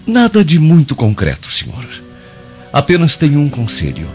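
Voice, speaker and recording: An actor playing a sly, obsequious Roman officer in a Brazilian radio soap, with an oily, conspiratorial manner. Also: male voice, on the high side, huge pitch swings, normal speed, darkish tone. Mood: feigned deference. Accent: Brazilian Portuguese. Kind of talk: radio soap opera acting. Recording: dull lo-fi radio drama, music behind.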